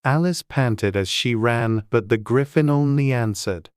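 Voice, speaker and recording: A man's voice: mid-range voice, measured pace, smooth, no room sound, clean studio sound. A man reading scripted storybook narration as an audiobook narrator.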